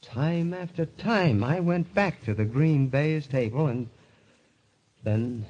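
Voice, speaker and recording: An actor playing a haunted club member who tells his own story with measured, dramatic dread in a golden age radio mystery. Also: a little high, expressive, darkish tone. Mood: compelled, confessional. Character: haunted club member narrating his obsession, tense, confessional. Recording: dull old radio recording with music.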